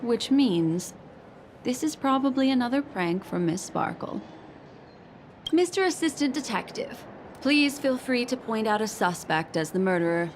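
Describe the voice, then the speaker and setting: A woman voicing a composed, elegant female detective in a video game, formal and measured with gentle irony. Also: a little high, lively intonation, slight background noise. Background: music.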